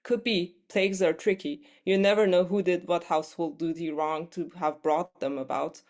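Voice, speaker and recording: A woman's voice: fairly low pitch, steady tone, normal speed, a little mellow, close to an everyday voice. A woman reading the part of a matter-of-fact female fortune teller in an absurdist play.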